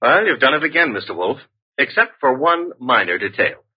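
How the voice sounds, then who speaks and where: A breezy, wry detective's assistant from vintage radio drama. His husky voice swings in lively fashion through slangy lines, on a dull old recording. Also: medium pitch, normal speed. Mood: questioning. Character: wisecracking, street-smart male detective's assistant. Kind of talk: 1940s-50s radio mystery acting.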